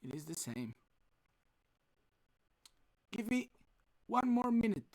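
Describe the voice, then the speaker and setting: Male teacher, gravelly and husky, dry close sound, halting delivery while he checks his audio. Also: sits high, big pitch swings, no room sound. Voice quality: rough, husky voice. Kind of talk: live online class.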